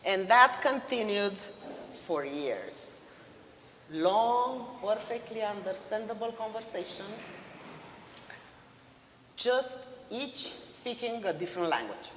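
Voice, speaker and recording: A woman in her fifties from Romania with a Romanian accent, giving a mother-of-the-groom wedding toast in a loud voice, laughing between lines. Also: medium pitch, lively intonation, slow pace, long pauses, a little hiss or hum. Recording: reverberant hall.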